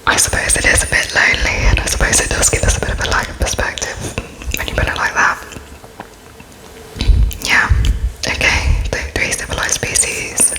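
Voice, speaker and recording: A person speaking in a breathy, unvoiced whisper, hesitant and gently polite, playing an interviewer in an ASMR roleplay. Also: normal speed, long pauses, rough recording.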